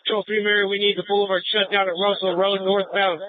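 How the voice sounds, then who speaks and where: A man's high voice comes through a tinny, dry police radio channel. He is a police officer passing urgent traffic from the field. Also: level tone, fast talker, no echo. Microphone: two-way radio. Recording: tinny narrow-band police radio transmission.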